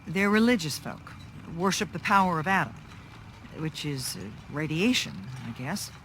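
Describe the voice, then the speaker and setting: A weary, level-headed woman who leads a harbor town. She speaks firmly and plainly in a measured way. A little ambient noise runs underneath. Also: fairly low pitch, expressive, a bit bright. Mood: wry, dismissive. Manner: measured, firm, plain-spoken. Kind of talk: video game character acting.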